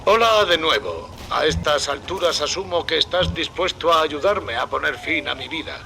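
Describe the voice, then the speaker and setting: An actor playing a weary dying scientist in a game, speaking in a gravelly, quiet, resigned voice. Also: male voice, on the high side, lively intonation, moderate pace. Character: Gil Alexander, weary dying scientist, recorded message. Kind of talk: game voice acting. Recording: noisy game audio.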